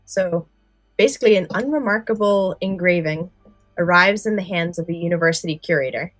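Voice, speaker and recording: A woman's voice: fairly low pitch, a little fast, no room sound, soft background music. A woman co-hosting a podcast, talking in a casual, explanatory way. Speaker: podcast co-host. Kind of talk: casual podcast chat with some read-out story summaries.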